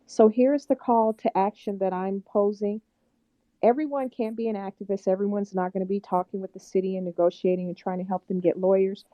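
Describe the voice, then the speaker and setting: A woman's voice: mid-range voice, fairly even intonation, fairly quick, no room sound. Rallying woman on muffled audio. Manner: upbeat, rallying. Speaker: organizer in an audio chat room. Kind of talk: call to action in a live audio chat.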